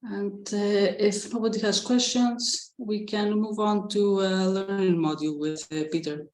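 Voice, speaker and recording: A young adult facilitating a casual work meeting on a video call, speaking hesitantly with pauses. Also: mid-range voice, fairly even intonation, a little mellow. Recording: roomy echo on video call.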